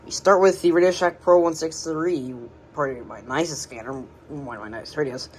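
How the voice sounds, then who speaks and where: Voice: medium pitch, fairly even intonation, brisk. A hobbyist giving a casual, unscripted tour of a collection, rambling and hesitant, often trailing off, recorded at home. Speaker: radio collector presenting a collection. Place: indoors at home, by shelves. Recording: noisy amateur home recording.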